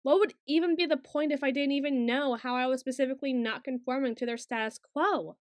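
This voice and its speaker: High-voiced, bookish, awkward young woman, acted by a woman. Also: brisk, no echo, clean studio sound, lightly acted. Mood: defensive, detached. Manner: stilted, over-formal intellectual phrasing, hesitant. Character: awkward, bookish young woman student, secretly obsessive, protective. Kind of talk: ASMR roleplay acting.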